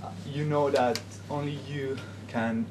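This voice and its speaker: Young man with a Peruvian Spanish accent. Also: mid-range voice. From Peru. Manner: halting, with frequent "um" pauses. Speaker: guest speaker. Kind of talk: informal talk to a class. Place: classroom, indoors. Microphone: camera in the room. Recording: classroom recording with steady noise.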